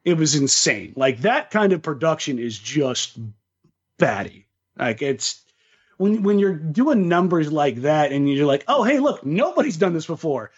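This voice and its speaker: A man's voice: a little high, very animated, a little slow, husky. A man co-hosting a sports podcast, chatting casually about basketball with slangy, emphatic phrasing. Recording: slightly dull, lo-fi voice recording.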